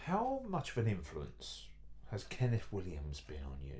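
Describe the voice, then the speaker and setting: A man's voice: deep voice, huge pitch swings, moderate pace, darkish tone. A man hosting a podcast, chatting eagerly with his guest in a casual interview. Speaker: podcast host.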